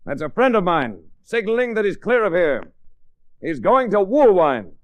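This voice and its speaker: An actor playing a tough, cool-headed adventurer in a 1940s radio drama, clipped and commanding. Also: male voice, sits high, very animated, moderate pace, no echo, lo-fi sound. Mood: cool, defiant.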